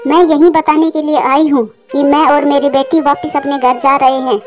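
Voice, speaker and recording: A woman voicing a high-pitched, devoted mother bird. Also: level tone, normal speed, soft background music, natural voice. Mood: earnest. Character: anxious, devoted widowed mother bird, young and gentle. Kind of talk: Hindi moral-story cartoon dialogue.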